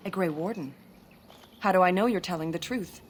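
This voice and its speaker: An actress playing a wary, stern elven forest sentry in a fantasy video game, speaking curtly and formally. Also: female voice, on the low side, brisk, a little hiss or hum, close to an everyday voice. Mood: suspicious. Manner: curt, guarded, formal.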